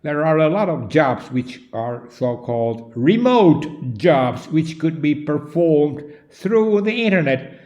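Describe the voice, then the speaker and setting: Slow, emphatic Finnish-accented man. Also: a little high, lively intonation, roomy sound, muffled recording. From Finland. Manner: slow, deliberate, loud and emphatic. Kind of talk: direct-to-camera monologue.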